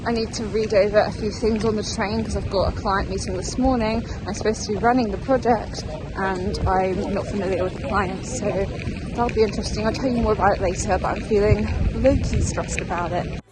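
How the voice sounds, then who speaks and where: A woman's voice: mid-range voice, fairly even intonation, a little fast, very noisy. A woman in her twenties with an English accent, chatting informally and self-deprecatingly in a casual day-in-the-life vlog. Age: 20s. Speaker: vlogger, student actuary. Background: music.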